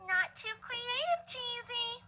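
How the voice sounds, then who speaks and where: A woman's voice: very high voice, expressive, slow pace, bright, forceful tone, full character voice. A woman voicing a bubbly, chatty young female party pony in an audio drama, perky, playful and casually murderous. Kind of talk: audio drama fan fiction reading. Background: music.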